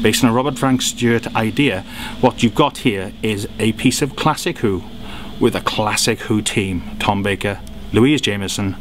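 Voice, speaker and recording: A man giving an animated, gushing review of audio dramas and radio plays, throwing in jokes as he goes. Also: medium pitch, background noise. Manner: animated, jokey, gushing with praise. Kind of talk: enthusiastic product review.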